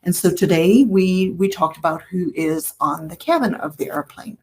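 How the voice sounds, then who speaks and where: A woman's voice: on the low side, moderate pace, mellow, a little hiss or hum. A woman from Africa, hosting a solo educational podcast and explaining things earnestly, often repeating her key points. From South Africa. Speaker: podcast host.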